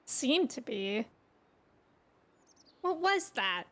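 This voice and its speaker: A woman live-streaming a story game, casually reacting in an expressive, exclamatory way. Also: high voice, drawn-out words. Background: game music.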